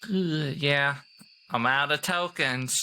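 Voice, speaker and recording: A man's voice: on the high side, slow pace, sharp tone, clear, resonant tone. A man chatting casually as a player in a tabletop roleplaying game, improvising what his character does.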